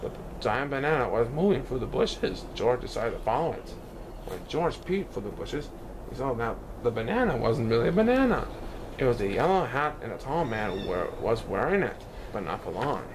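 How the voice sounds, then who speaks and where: A man reads a children's storybook aloud with a lively, swinging intonation. His pitch is medium and he stumbles on the odd word. The recording has clear background noise. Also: normal speed. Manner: animated storytelling, occasional stumbles over words. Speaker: storybook reader.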